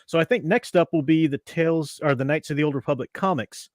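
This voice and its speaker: A man's voice: fairly high pitch, a little fast, no echo, clean studio sound. A man hosting a casual podcast chat, opinionated and chatty, laughing often. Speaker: podcast host.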